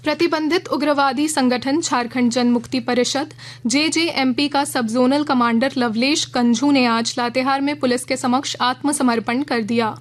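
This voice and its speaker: A woman reading a regional radio news bulletin in a measured, formal way from a studio. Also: sits high, steady tone. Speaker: news reader.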